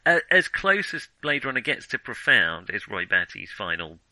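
Male podcast co-host, very bright and sharp, dry sound, informal, wry film chat. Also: medium pitch, big pitch swings, moderate pace, no room sound, rough recording. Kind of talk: casual film discussion.

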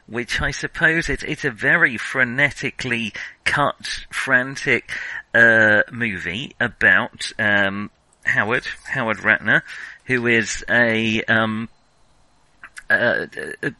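A male podcast host with a very bright, sharp-edged voice, recorded dry and close. He talks casually about films in a wry, self-deprecating, rambling way, feeling for his words as he goes. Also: medium pitch, slow, no room sound, low-quality recording. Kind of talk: casual film discussion.

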